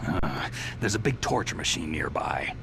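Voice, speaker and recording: An actor playing a cynical, battle-hardened soldier in a video game, gravelly and terse, with a suspicious, sardonic edge. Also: male voice, extremely low pitch, expressive, normal speed, background noise, heavily acted. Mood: matter-of-fact.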